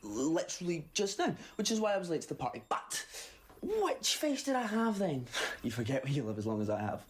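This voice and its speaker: A man playing a quirky, upbeat time-travelling alien hero with a breezy, excitable manner in a sci-fi audio drama. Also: on the high side, brisk.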